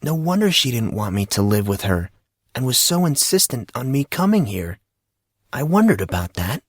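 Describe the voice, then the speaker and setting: A man's voice: medium pitch, big pitch swings, normal speed, slightly rough, dry recording, clean studio sound. A man voicing a troubled fourteen-year-old boy in an audiobook, earnest and full of questions. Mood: reflective.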